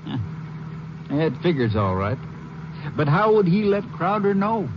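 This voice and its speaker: An actor playing a folksy, puzzled Western sheriff with a doubtful, drawling manner in 1950s radio drama style. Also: male voice, high voice, big pitch swings, a little slow. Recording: muffled, noisy old radio recording.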